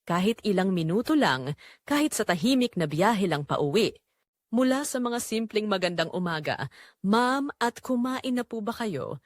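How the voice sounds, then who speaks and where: A woman's voice: medium pitch, very animated, moderate pace, dry recording, clean studio sound, close to an everyday voice. Woman playing a lonely wife, expressively confessing. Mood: yearning, secretive. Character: Celine, lonely wealthy wife confessing forbidden longing. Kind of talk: dramatic first-person story narration.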